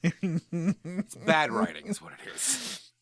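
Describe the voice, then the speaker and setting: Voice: medium pitch, animated, slow, creaky, a bit bright. A podcast co-host chatting casually with blunt, exasperated commentary.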